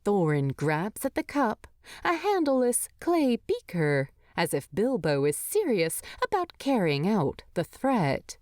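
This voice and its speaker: A woman reads a story aloud with lively, varied intonation. Her pace is measured and her voice sits at a medium pitch. The recording is dry and intimate. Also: no echo. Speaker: fan fiction narrator. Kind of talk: expressive scripted story narration.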